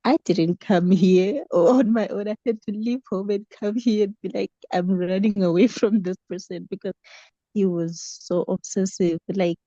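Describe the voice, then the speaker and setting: A woman's voice: medium pitch, steady tone, normal speed, warm tone, no room sound, muffled recording. A woman with a South African accent telling a personal story casually, acting out quoted dialogue as she goes. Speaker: book club member sharing a story.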